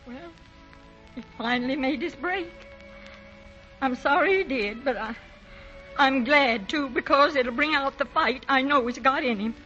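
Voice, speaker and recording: A homely, tender older American widow in a 1940s radio drama. Her voice is a little high and plainspoken. The vintage recording is dull, with faint music. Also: unhurried, long pauses, pushed and bright. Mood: wistful.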